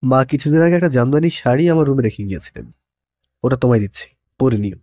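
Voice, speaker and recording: A man's voice: mid-range voice, expressive, fast, warm tone, no room sound, close to an everyday voice. A man playing a playful, protective young husband in a romantic audio story, mixing in some English words. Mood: casual, affectionate. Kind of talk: dramatized audio story dialogue.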